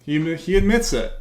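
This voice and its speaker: A man streaming live and reacting to a video, ranting hard and swearing as he argues, and his voice is going hoarse. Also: high voice. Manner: combative, swearing, emphatic rants. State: losing his voice. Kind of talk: heated live reaction commentary.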